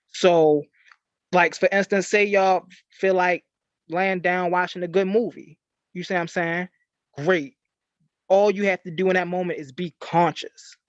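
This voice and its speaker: A man on a discussion panel speaking earnestly, pausing on fillers and calling listeners family. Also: sits high, no echo, highs cut off.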